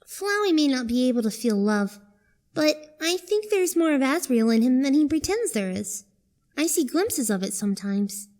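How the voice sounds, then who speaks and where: A woman's voice: high-pitched, sing-song, normal speed, darkish tone. A woman voicing a kind human child who narrates politely and sincerely in a cartoon fandub. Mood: matter-of-fact. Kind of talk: first-person fandub narration.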